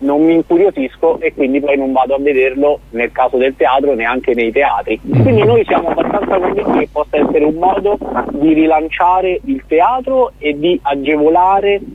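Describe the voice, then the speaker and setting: A man's voice: fairly high pitch, slightly rough, no room sound. Earnest man on a tinny phone line. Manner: explanatory, earnest, with frequent restarts. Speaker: startup founder, guest. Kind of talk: radio interview over the phone.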